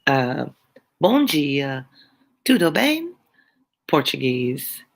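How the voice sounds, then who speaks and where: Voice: mid-range voice, very animated, very slow, gravelly, a bit bright. A middle-aged tutor from the United States reads a classic novel aloud, then chats playfully with live viewers. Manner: chatty and playful with live viewers, self-deprecating. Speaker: tutor hosting a live read-along.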